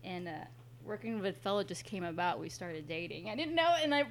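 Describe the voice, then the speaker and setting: A woman's voice: lowish voice, very animated, a little fast. Californian woman chatting, faint background noise. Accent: Californian American. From San Francisco Bay Area, United States. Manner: chatty, quotes dialogue as she tells stories. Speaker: interview guest, kitchen manager. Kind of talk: casual conversational storytelling.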